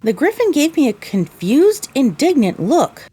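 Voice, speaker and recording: A woman's voice: high voice, sing-song, unhurried, warm tone. A woman narrating a scripted first-person story.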